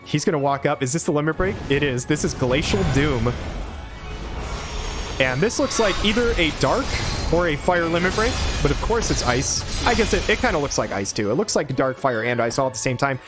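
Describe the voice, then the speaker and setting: A man in his thirties giving quick, excited play-by-play of a game on a headset mic, his voice hoarse from a cold. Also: a little high, music in the background. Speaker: game caster. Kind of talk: play-by-play commentary.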